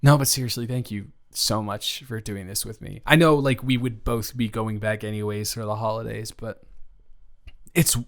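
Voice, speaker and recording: Man playing a playful, halting best friend. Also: mid-range voice, lightly acted. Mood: sincere, wistful. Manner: halting, casual, with false starts and repeats. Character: playful, teasing young man, listener's best friend on a road trip. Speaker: audio roleplay creator and performer. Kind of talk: improvised conversational roleplay audio.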